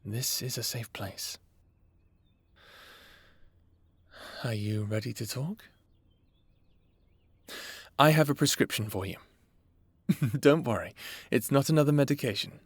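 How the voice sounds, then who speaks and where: A man playing a calm, caring therapist who talks to a patient in a measured, reassuring way, in an audio roleplay. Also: medium pitch, slightly rough, no echo. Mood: gentle, reassuring.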